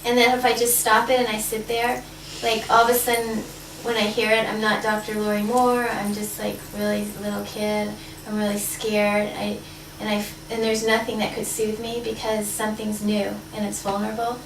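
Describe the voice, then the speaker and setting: A woman's voice: mid-range voice, flat delivery, moderate pace, few pauses. A woman facilitating a group role-play workshop, speaking candidly and laughing often. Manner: candid, playful, laughs easily. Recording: roomy, distant, noisy live room.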